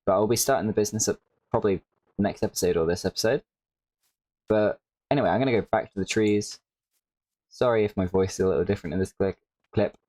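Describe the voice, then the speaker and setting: A man with a very low voice and a slight hoarseness talks through his gameplay in a relaxed, rambling way, often adding "like" and correcting himself. He sounds close to the mic in a dry room. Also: quick pace, pauses often, no echo. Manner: rambling, self-correcting, with frequent "like". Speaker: Minecraft creator. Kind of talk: casual gameplay commentary.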